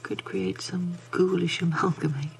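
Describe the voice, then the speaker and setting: A woman's voice: on the low side, steady tone, moderate pace, vocal fry, a little hiss or hum, poor audio. A woman acting in an audio drama as a sinister comforter, coaxing in a whispery, soothing way. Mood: soothing, eerie.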